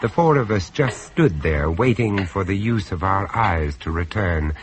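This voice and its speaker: An actor playing a grave, observant gentleman who narrates a horror tale in a radio play, in a dramatic storytelling style. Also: low voice, lively intonation, measured pace, soft background music. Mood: uneasy, brooding. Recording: noisy old radio drama recording.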